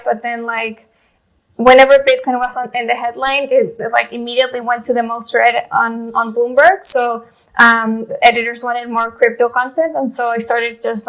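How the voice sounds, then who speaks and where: A woman's voice: fairly high pitch, vocal fry, a bit bright, low-quality recording. A woman in her thirties from Chile, a journalist guest telling her story in a rambling way, full of fillers and restarts. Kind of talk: casual interview talk.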